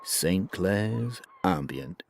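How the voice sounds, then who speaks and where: A man's voice: sits low, expressive, very slow, full character voice. A man playing a languid, soothing, trippy late-night DJ on an ambient FM radio station. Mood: dreamy, soothing. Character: mellow late-night ambient radio DJ, dreamy surfer philosopher. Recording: clearly noisy background ambience.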